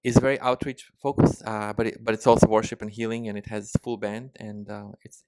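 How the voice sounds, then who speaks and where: A man's voice: fairly low pitch, level tone, brisk, a little mellow, clear, resonant tone, dry recording, poor audio. A man with a slight Ukrainian-Israeli accent answering interview questions, hesitant with many restarts and fillers. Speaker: musician guest.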